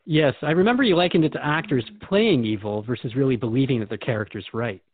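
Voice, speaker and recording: A man's voice: mid-range voice, quick pace, phone-quality sound, lightly acted. A man playing a curious, earnest seeker questioning a spiritual guide in a conversational scripted dialogue. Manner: inquisitive, conversational.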